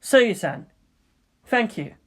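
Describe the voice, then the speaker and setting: A woman voicing an earnest, thoughtful young male pilot in a story, calm, serious and polite. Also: fairly high pitch, slow, voice pushed up. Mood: sincere.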